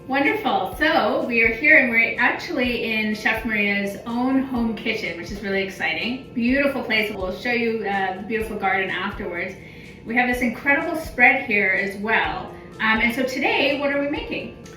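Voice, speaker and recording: A woman's voice: on the high side, moderate pace, pushed and bright. A woman in her thirties hosting a cooking segment, eager and chatty, with frequent ums. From England, UK. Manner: enthusiastic, many fillers like um. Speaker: host, doctor. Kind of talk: upbeat cooking show hosting. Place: home kitchen. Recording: echoey kitchen, far from mic, faint music.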